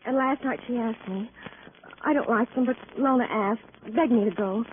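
An American actress playing an anxious, distraught young working woman in a 1940s radio drama, speaking in halting, emotional, repeated phrases. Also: a little high, natural voice. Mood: shaken, recounting. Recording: old radio recording, narrow band, hiss.

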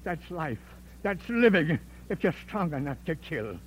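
A crafty old villain, kinda high voice, doddering and theatrical, noisy old radio recording. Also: male voice, big pitch swings, unhurried. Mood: cynical, defiant. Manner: theatrical, wheedling, feigning feebleness. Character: cunning, defiant old male villain, frail but unrepentant. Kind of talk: 1950s radio drama acting.

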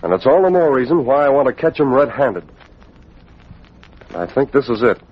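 An actor playing a resolute masked crime-fighter, husky, decisive and commanding, in a 1940s radio drama. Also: male voice, mid-range voice, very animated, a little fast. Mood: determined. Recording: muffled old radio recording.

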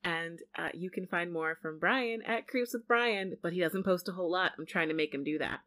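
A woman co-hosting a casual podcast, talking in a chatty, animated way and laughing easily. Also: fairly low pitch, normal speed. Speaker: podcast co-host.

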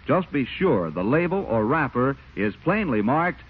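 A man's voice: mid-range voice, big pitch swings, a little slow, slightly rough. A man giving a scripted 1940s-style radio announcer read. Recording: thin, tinny old radio recording.

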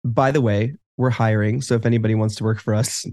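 A man's voice: on the low side, steady tone, quick pace, a little mellow, dry recording, clean studio sound. A man co-hosting a podcast in casual chat, with wry, sarcastic humor. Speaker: podcast co-host.

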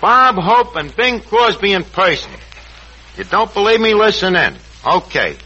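A high-pitched, blustering tavern manager. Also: male voice, sing-song, unhurried, bright, forceful tone, full character voice. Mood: boastful. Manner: pompous, mangles big words. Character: blustering malapropism-prone tavern manager, adult man. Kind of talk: 1940s radio comedy acting. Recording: muffled, noisy vintage radio broadcast.

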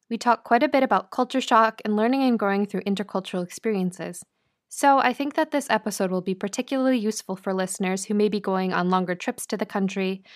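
Clear-spoken woman podcast host. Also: medium pitch. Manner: clear, teacherly, spells out phrases slowly. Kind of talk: scripted podcast intro and interview.

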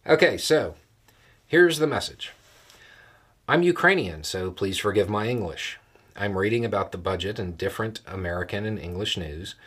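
A man giving casual, explanatory political commentary in a folksy, plain-spoken way, with measured phrasing and deliberate pauses. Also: on the low side, lively intonation, a bit bright, breathy edge. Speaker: host of a political commentary show.

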